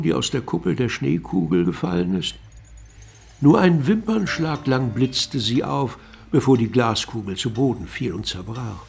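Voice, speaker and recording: A solemn literary narrator, slow, fry-laden voice, elegiac, radio play over soft music. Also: male voice, mid-range voice. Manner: deliberate, elegiac. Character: solemn, poetic male narrator closing a mystery.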